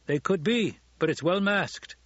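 A man's voice: sits high, expressive, a little slow, fairly bright tone, lo-fi sound, pitched above the performer's own voice, full character voice. A man voicing a loyal veteran soldier in an audiobook, deferential to his lord, speaking earnestly and emphatically. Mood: wary, reporting. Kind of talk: audiobook character dialogue.

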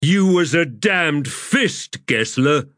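A male narrator voicing a stubborn, surly soldier who growls out slow, drawled slang. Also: fairly high pitch, lively intonation, a bit bright, dry recording, studio quality, pitched above the performer's own voice.

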